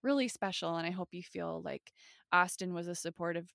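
Minnesotan woman, podcast host; gravelly, low-ish, dry close mic. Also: fairly quick, a bit bright, dry recording. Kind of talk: casual podcast interview.

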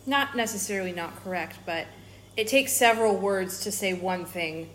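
A woman co-hosting a Bible study podcast, explaining word meanings in a calm, teacherly way. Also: medium pitch, normal speed, a little hiss or hum. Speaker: podcast co-host.

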